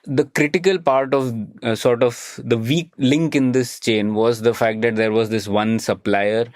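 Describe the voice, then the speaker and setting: A man's voice: medium pitch, fairly even intonation, a little slow, darkish tone, no room sound, clean studio sound. A man with an Indian accent hosting a podcast, discussing policy in a conversational way and putting questions to his guest. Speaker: podcast host interviewing a guest.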